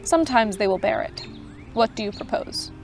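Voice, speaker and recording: A woman's voice: fairly high pitch, expressive, moderate pace, faint music behind. Woman reading as a severe, stern official. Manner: cold, critical, clipped. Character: severe, stern unseen official judging a case.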